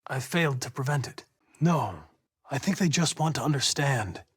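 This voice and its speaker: A man's voice: mid-range voice, expressive, normal speed, husky. A man voicing a polite, coolly logical young android detective in a video game, with formal, precise phrasing. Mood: soft, sympathetic. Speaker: police investigator android.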